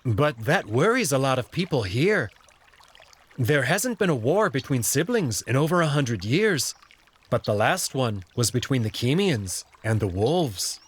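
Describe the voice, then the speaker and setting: Man acting as male alien servant, visual novel character, well-spoken, friendly, explanatory, animated intonation. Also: mid-range voice, measured pace, breathy edge. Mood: earnest. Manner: polite, explanatory.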